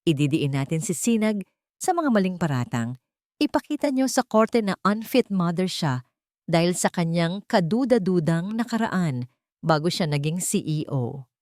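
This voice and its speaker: A woman's voice: fairly low pitch, expressive, normal speed, a little mellow, no echo, studio quality, close to an everyday voice. A woman voicing a scheming wealthy older matriarch who gives orders with cold authority and cunning. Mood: commanding.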